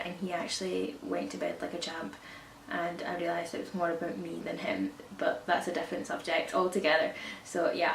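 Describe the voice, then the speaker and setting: A woman with a croaky voice from a cold, chatting casually to camera about baby products and often correcting herself. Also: fairly low pitch. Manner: rambling, self-correcting, chatty. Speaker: parenting vlogger. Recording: echoey room, distant mic, faint hiss.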